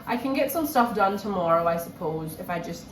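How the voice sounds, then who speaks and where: A woman's voice: mid-range voice, animated, brisk. A woman, chatting casually to camera and repeating phrases for emphasis, a bit run down with a cold. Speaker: book vlogger. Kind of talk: casual chat to camera, reading vlog. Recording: echoey room with faint background noise.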